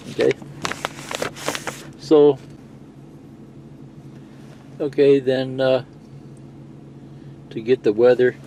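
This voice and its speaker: A man's voice: lowish voice, creaky, background noise. A middle-aged man giving a casual, rambling, plain-spoken hands-on walkthrough of a gadget, explaining as he goes. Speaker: CB radio video presenter.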